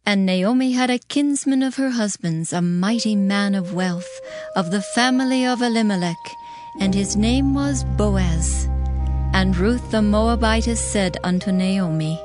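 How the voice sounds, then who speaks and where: A woman narrating scripture from a script in a measured, formal way. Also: mid-range voice, over music.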